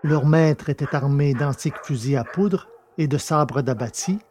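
A man's voice: a little high, normal speed, darkish tone, slight background noise. A man reading scripted science-fiction story narration.

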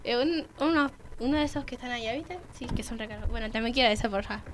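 A woman's voice: fairly high pitch, fast, slight background noise, natural voice. A young woman with an Argentine accent playing a spoiled, catty rich girl with an affected, dismissive manner in improvised radio theatre. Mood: demanding, snobbish. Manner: affected, dismissive, filler-laden posh slang.

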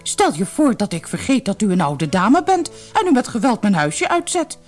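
A woman's voice: medium pitch, sing-song, moderate pace, soft background music, pitched above the performer's own voice. A woman voicing a polite male rabbit in a storybook, indignant, flustered and blustering. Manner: stammering, blustering. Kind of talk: storybook character voice.

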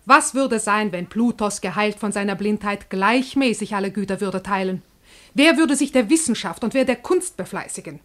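A woman's voice: mid-range voice, normal speed, fairly bright tone, close to an everyday voice. An actress playing Poverty as a proud old woman, arguing in declamatory verse in a classical radio comedy. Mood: scornful, lecturing. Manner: declamatory, argumentative stage delivery.

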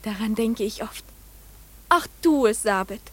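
A woman's voice: on the high side, animated, a little slow, a bit bright. A woman playing a dreamy little girl in a radio play, coaxing and wistful.

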